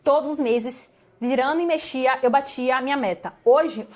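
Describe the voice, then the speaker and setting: A Brazilian woman from Bahia with a smoothed São Paulo accent, a guest telling her life story in an animated, emphatic way that repeats phrases for effect. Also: high voice, normal speed. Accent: Brazilian Portuguese, São Paulo-smoothed. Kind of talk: conversational interview storytelling.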